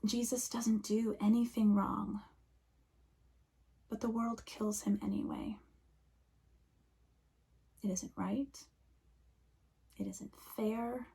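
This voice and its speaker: A woman minister speaks in a slow, hushed way, her voice husky with a gravelly edge. She sits somewhat high in pitch and often sinks to a near whisper as she reflects. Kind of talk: reflective sermon.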